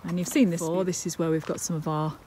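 A woman's voice: on the low side, flat delivery, quick pace, mellow, slight background noise. A woman, talking worriedly into a phone held at arm's length in a casual vlog. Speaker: vlogger. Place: outdoors in the countryside and indoors at home.

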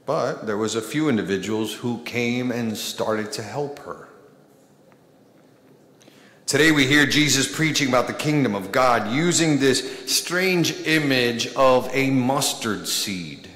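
A man in his thirties gives a sermon at a church pulpit, pausing for emphasis between short phrases. His speech is unhurried and weighty. The sound carries a roomy echo with a long church reverb trailing each line. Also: mid-range voice, lively intonation, a bit bright. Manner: slow, deliberate preaching with emphatic pauses and gestures. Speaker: priest. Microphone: pulpit microphone.

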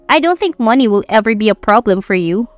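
A woman's voice: mid-range voice, lively intonation, brisk, faint music behind, close to an everyday voice. A Filipino woman voicing a defensive, flustered young woman hiding a crush, in an audiobook romance. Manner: snappy, flustered.

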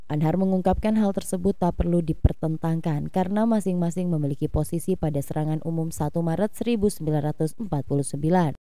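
A woman's voice: deep voice, fast talker, hardly any pauses, warm tone, no echo. A woman reading a scripted news report as a voice-over.

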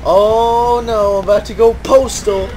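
A man's voice: sits high, steady tone, unhurried, poor audio. A man giving sarcastic, sweary game commentary in an exasperated, repetitive, mock-enthusiastic manner. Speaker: gaming streamer. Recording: loud fan and game noise behind. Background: whirring computer fan, game audio.

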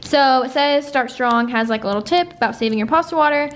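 A woman's voice: high-pitched, a little fast. A young woman in her twenties chatting casually in a vlog, bubbly and full of "like" fillers, filmed on a handheld camera. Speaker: vlogger. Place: home kitchen, car and big store.

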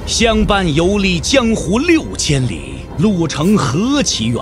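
A man's voice: sits high, wildly swooping pitch, takes it slowly, over music. A man giving dramatic scripted narration for an audio drama, theatrical and emphatic.

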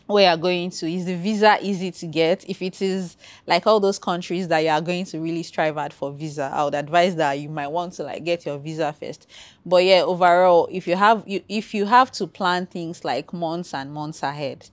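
A Nigerian woman with a Nigerian accent, a travel content creator giving advice as a podcast guest in a relaxed, chatty way and repeating points for emphasis. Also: lowish voice, dry recording. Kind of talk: casual conversational interview.

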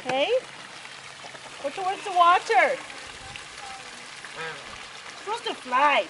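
A woman's voice: extremely high pitch, lively intonation, slow. A woman chatting casually and teasing the farm animals, heard from a distance outdoors in a farmyard. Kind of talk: casual chat. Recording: distant, noisy outdoor pickup.

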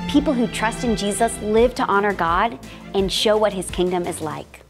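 Calm woman narrating a Bible story. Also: medium pitch, normal speed, over music.

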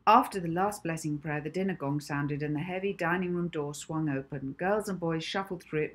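A woman's voice: lowish voice, breathy edge. A middle-aged woman reading a children's novel aloud as scripted storybook narration, recorded indoors at home. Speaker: author reading own novel.

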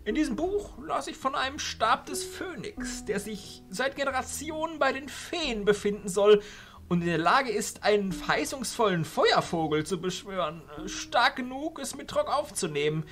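A woman's voice: on the high side, animated, moderate pace, fairly bright tone, faint music behind. A woman playing a dignified, grave male archchancellor with formal, courtly phrasing in an amateur audio drama.

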